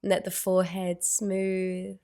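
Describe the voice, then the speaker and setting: A woman leading a guided meditation in a soothing, unhurried way, with gentle phrasing and long pauses. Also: mid-range voice, completely flat delivery, smooth.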